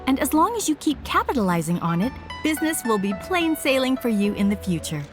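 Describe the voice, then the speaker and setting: An earnest craftswoman from a video game, polite and deferential to her client. Her voice is high and swoops expressively, with faint music behind it. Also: sing-song, fairly quick. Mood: admiring, eager. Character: eager, deferential female artisan shopkeeper, polite and professional.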